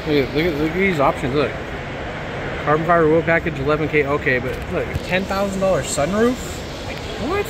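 A man's voice: on the high side, expressive, fairly quick. Awed man touring a noisy auction. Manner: awed, exclaiming at each find. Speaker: vlogger. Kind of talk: casual walkaround commentary. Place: large car auction hall. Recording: loud noisy background. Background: loud crowd and hall noise.

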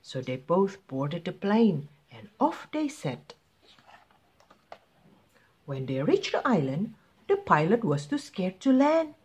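A woman narrates a picture book for children. She reads slowly and deliberately, with very expressive, lively pitch. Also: husky. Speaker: children's story reader.

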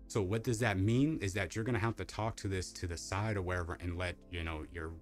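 A young man with a deep voice casually reviewing a microphone, rambling with lots of fillers and restarts, in a home streaming setup. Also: fairly even intonation, fairly quick, faint music behind. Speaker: tech reviewer.

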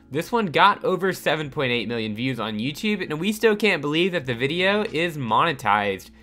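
A man reading a scripted countdown voice-over with upbeat delivery over soft background music. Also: a little high. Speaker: narrator of a countdown channel.

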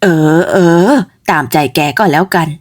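A woman's voice: moderate pace, lightly acted. A woman voicing a middle-aged village mother in a storybook tale, motherly and chatty with a fretful streak. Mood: resigned. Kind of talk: storybook character voice.

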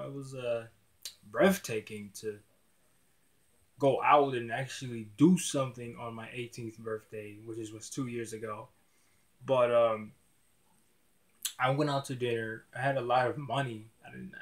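A young man in his early twenties talks straight to his webcam in a small dim room. His voice sits at a middling pitch. He rambles in easy slang, tripping over and repeating his words as he goes. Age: 20s. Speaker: YouTuber. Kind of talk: casual vlog talk to camera.

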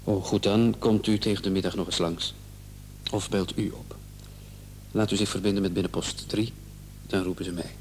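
A man's voice: low-pitched, steady tone, moderate pace, pauses often. A man in a radio drama playing a hospital doctor who is placating and condescending. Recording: old radio play, faint noise and hum.

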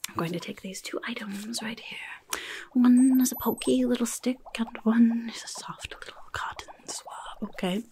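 Goblin clinic helper, female woman performer. Impatient, muttering, repetitive, hushed delivery with gravelly fry, ASMR roleplay. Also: on the high side, close to an everyday voice. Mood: brisk, fussy. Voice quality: whispery, breathy, creaky. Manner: brisk, muttering, sarcastic, repetitive. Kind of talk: ASMR roleplay, chaotic medical exam. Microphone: close-up microphone.

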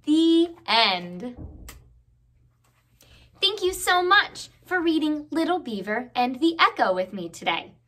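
Story time lady, bright voice, big pitch swings, reading to little kids. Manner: expressive, deliberate, drawn-out words. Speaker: children's story time host. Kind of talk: animated picture-book read-aloud.

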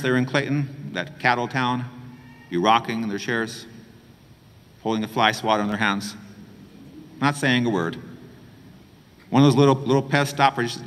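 Middle-aged male priest, gentle expressive homilist, very animated pitch, recorded with long church reverb. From New Mexico, USA. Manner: gentle, expressive preaching with pauses and hand gestures. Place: small chapel.

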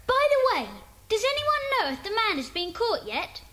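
A woman's voice: very high voice, very animated, normal speed, fairly bright tone, exaggerated voice. A woman playing an earnest, observant schoolboy in a children's club. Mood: eager, recalling.

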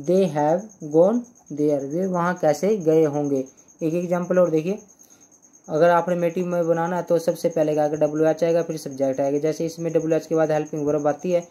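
A young man teaching English grammar in Hindi, going slowly through example sentences, repeating them and often checking "clear?". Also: a little high, mellow. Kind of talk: Hindi-language grammar lesson.

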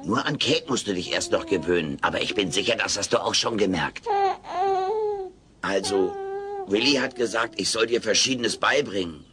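A man plays a furry sitcom alien, centuries old yet childlike. His low voice swoops up and down through cheeky, drawling wisecracks, with crowd noise behind him. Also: animated, moderate pace, natural voice. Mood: chatty, instructive. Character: wisecracking furry male alien, over 200, childlike and tender. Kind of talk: German sitcom audio drama.

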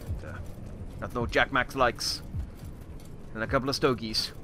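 A man's voice: medium pitch, fairly even intonation, normal speed, very noisy, close to an everyday voice. A man voicing a bumbling, boastful Australian survival expert with a wandering accent, rambling through mock lessons as game commentary. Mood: deadpan mock-expert. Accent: put-on wandering Australian. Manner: hesitant, rambling, mock-instructional. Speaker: gameplay commentator. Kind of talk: improvised in-character comedic game commentary.

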